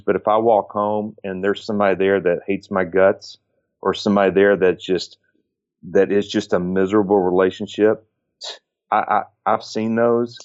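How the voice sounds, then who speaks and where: A man in his late forties, a former baseball pitcher, giving earnest, reflective advice in conversation, often restarting phrases and listing points. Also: muffled recording. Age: 40s.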